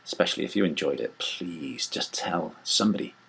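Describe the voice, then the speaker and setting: A man's voice: rumbling low, expressive, a little fast, gravelly, a bit bright, slight background noise. A man speaking for a small theatre company, making a rambling, homely appeal to listeners. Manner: rambling, homely, loose wording.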